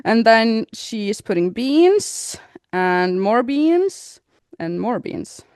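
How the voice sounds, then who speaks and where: A woman's voice: a little high, sing-song, drawn-out words, a little mellow, clean tone, no room sound, studio quality. A woman co-hosting a casual podcast chat, easygoing, describing what she sees and laughing often. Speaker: podcast co-host.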